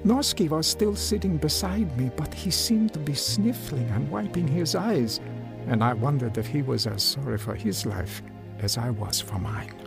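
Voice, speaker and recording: An actor playing a sincere, humble homeless man with a creaky voice, telling his story in a radio drama. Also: medium pitch, expressive, normal speed. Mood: reflective. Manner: sincere, reflective. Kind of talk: radio drama first-person narration and dialogue. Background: music.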